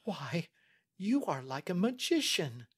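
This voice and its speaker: A man voicing an earnest, anxious young Victorian lady with polite, soft-spoken pleading, in audiobook style. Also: sits high, sing-song, takes it slowly, slightly rough, pitched above the performer's own voice, heavily acted. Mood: startled. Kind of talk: audiobook character acting.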